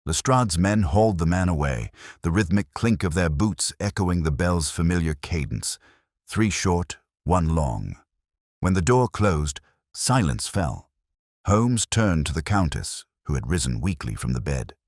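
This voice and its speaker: A man's voice: deep voice, big pitch swings, a little slow, a little mellow, no room sound, studio quality. A man reading a scripted mystery story as a dramatic narrator.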